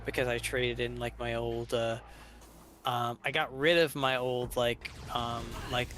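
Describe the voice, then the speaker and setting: A man in his twenties co-hosting a game session, telling stories in a halting, meandering way. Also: mid-range voice, little pitch movement, fairly bright tone. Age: 20s. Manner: halting, meandering, restarts often. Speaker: co-host. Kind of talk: casual chat.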